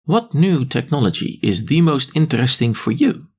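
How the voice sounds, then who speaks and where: A man's voice: mid-range voice, big pitch swings, moderate pace, husky. A man acting as moderator, reading out audience questions to a guest.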